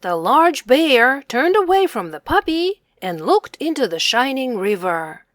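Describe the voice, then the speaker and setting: A woman reading a children's picture book aloud, expressive and deliberate, on a close mic. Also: high voice. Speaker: children's story reader. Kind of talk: read-aloud storytelling.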